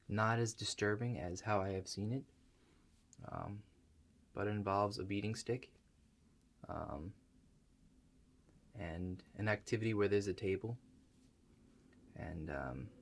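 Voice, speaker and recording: A man playing an awkward, hesitant operator of a strange future-viewing machine in a soft-spoken ASMR roleplay, halting and understated. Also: sits low, vocal fry, slight background noise. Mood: awkward, reluctant. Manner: halting, understated, mumbled asides with many pauses.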